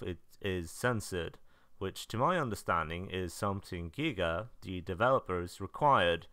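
A man with a low, clean and resonant voice narrates semi-scripted review commentary. His intonation rises and falls a lot, yet his manner stays drily understated. The voice-over is close, with almost no room sound. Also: fairly bright tone, no echo. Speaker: video narrator reviewing visual novel releases.

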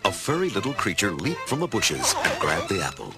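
A man's voice: sits low, wildly swooping pitch, fairly quick, music in the background. A man reading dramatic scripted narration for a children's storybook.

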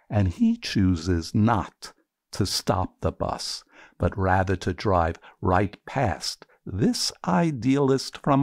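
A man performing poetry in an expressive, theatrical, deliberate reading style. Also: on the low side, no echo, studio quality.

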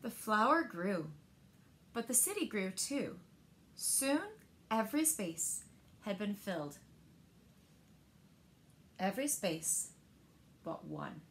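A woman in her thirties reading a picture book aloud to children in a gentle, deliberate manner, pausing to ask them questions. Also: medium pitch, very animated, a little hiss or hum. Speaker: library storyteller. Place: indoors, small living room by windows.

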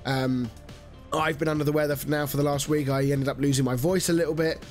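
A man's voice: a little high, steady tone, fast talker, faint music behind. A man in his thirties with a nasal, stuffy voice from a cold, rambling chattily through a let's-play with plenty of fillers. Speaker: gaming channel host. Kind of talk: casual let's-play commentary.

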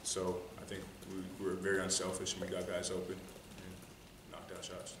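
A man's voice: sits low, completely flat delivery, normal speed. A young man, a college basketball player, answering in a post-game interview. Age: young adult. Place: indoor press room at a table. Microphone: shared table mic, some distance away. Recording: echoey room, distant mic, noisy background.

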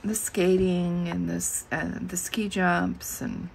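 A middle-aged woman losing her voice, chatting slowly and musing aloud as she flips through her planner at a desk. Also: on the low side, little pitch movement, vocal fry, a little hiss or hum, low-quality recording. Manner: slow and musing, thinking aloud. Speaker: planner channel host. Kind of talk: casual plan-with-me chat. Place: indoors at a desk.

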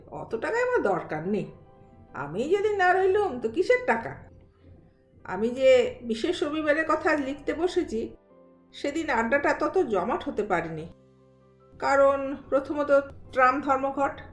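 A woman storyteller reading a literary story as expressive scripted narration. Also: sits high. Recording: roomy echo.

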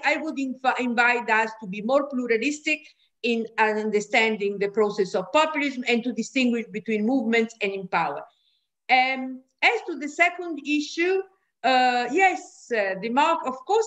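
An older woman speaking as an academic panelist on a video call, halting as she searches for words. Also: fairly high pitch, fairly bright tone. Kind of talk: unscripted panel discussion over video call. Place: small room at home, indoors. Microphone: computer mic on a video call.

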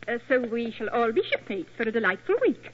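A woman's voice: a little high, sing-song, a little fast, husky. An actress playing a plucky, genteel young lady, polite and coy, in 1940s radio drama. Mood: coy, eager. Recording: muffled, faintly noisy old radio recording.

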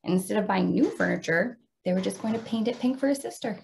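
American woman teacher with creaky voice. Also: medium pitch, fast talker, darkish tone, slight background noise. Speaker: teacher demonstrating a read-aloud. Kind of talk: read-aloud and instructional talk for teaching young children.

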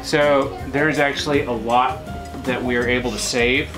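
A man's voice: mid-range voice, steady tone, normal speed. Man, 30s, casual homestead vlog talk, home kitchen, music bed behind. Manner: easygoing, jokey, explains to viewers. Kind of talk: casual chat while cooking.

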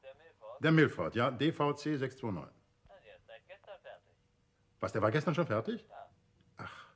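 An actor playing a composed, courteous and urbane detective in a vintage radio play, speaking conversationally with a slightly husky voice. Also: male voice, mid-range voice, lively intonation, measured pace, close to an everyday voice. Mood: surprised, polite.

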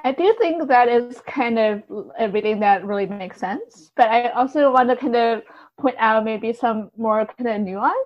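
A woman with a fairly high voice talks through ideas as a podcast guest. Her manner is informal and hedging, peppered with "kind of" and "like". The sound is very dry and slightly muffled. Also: moderate pace, no room sound. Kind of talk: casual literary discussion.

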